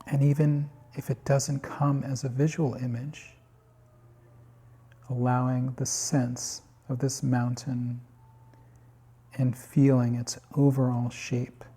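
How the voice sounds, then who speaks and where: A man guiding a meditation in calm, unhurried short phrases with long pauses, his voice slightly husky. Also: mid-range voice. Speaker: meditation guide. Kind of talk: guided body scan meditation.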